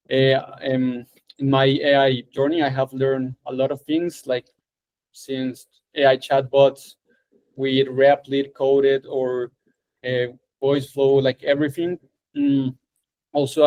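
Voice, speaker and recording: A man's voice: medium pitch, darkish tone, poor audio. A young man from Colombia with a Colombian accent, a Spanish speaker introducing himself on a video call, hesitant and full of filler words. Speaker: course student.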